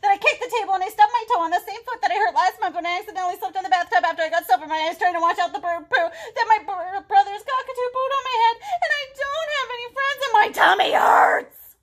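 A woman's voice: very high voice, fast, fairly bright tone, pitched above the performer's own voice. A woman voicing an upset little boy from a picture book, pouring out his woes in a breathless, whining wail. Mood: tearful, frantic. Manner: breathless run-on whining, wailing.

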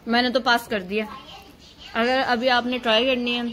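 A woman's voice: a little high, flat delivery, moderate pace, a bit bright. A woman from Pakistan vlogging in a teasing, chatty way, filming on her phone at home and in a car. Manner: teasing, chatty, laughs often. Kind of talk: casual chat and vlog narration. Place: home kitchen, then a car at night.

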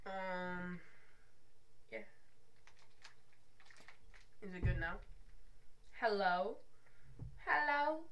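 A man's voice: high voice, animated, drawn-out words, pauses often, fairly bright tone. A young man live-streaming a game, chatting casually and distractedly with his viewers while he fiddles with his audio and overlay settings, calling them dude and bro. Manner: rambling, distracted, checks in with chat.

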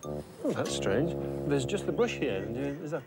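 A man's voice: a little high, sing-song, a little slow, loud music behind the voice. A man chatting casually as a presenter, agreeable and given to exclaiming. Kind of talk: casual chat.

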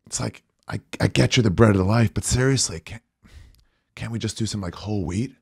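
A man's voice: fairly low pitch, a little fast, vocal fry, slightly rough, no echo. A man in his forties giving a casual comedic read with sarcastic, exasperated delivery, close on a studio mic. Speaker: guest comedian on a podcast. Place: small podcast studio, indoors. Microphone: close studio mic with headset.

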